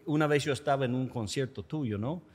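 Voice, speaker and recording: A man's voice: mid-range voice, a little fast, darkish tone, slightly rough, in a large hall. A man hosting an interview in Spanish with an American accent, English his first language, upbeat and jokey. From United States. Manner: jokey, upbeat, self-deprecating. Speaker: podcast host. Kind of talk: casual interview.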